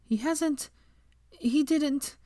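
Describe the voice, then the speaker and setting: Narrator lady doing a flustered guarded young woman, tentative n curt, pitch jumps up, dry recording. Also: drawn-out words, mellow, dry recording. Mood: alarmed.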